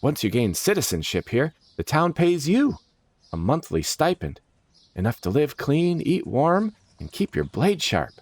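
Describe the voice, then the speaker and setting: Guy doing an eager adventurer dude for a fantasy story, pitched up, earnest and proud. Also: huge pitch swings, a little slow, slightly rough, no echo, heavily acted. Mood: enthusiastic. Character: Markin, eager, proud male adventurer and party leader. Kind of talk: storybook character dialogue.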